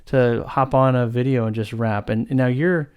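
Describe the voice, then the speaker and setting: A man hosts an informal interview in a dry, close-sounding voice of medium pitch. He talks effusively and casually, feeling his way through sentences with hesitant phrasing. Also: steady tone, normal speed, darkish tone, clear, resonant tone, no echo. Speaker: interview host, company founder. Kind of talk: casual conversational interview hosting. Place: remote video call from Texas.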